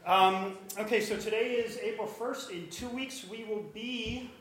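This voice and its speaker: A man with a loud voice reading church announcements in a chatty way. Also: sits high, fairly even intonation, a little slow, very echoey, slight background noise, poor audio. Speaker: church member making announcements.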